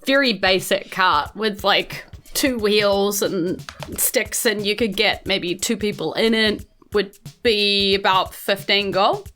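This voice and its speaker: A woman's voice: mid-range voice, takes it slowly, a bit bright, faint music behind. A woman with a New Zealand accent running a tabletop game, improvising casual, matter-of-fact explanations as game master.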